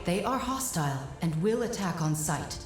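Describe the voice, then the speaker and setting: An actress playing a solemn mystic guide in a video game, advising in a measured, formal, earnest manner. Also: female voice, on the low side, animated, close to an everyday voice. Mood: instructive. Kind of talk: video game cutscene acting. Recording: roomy echo, music behind.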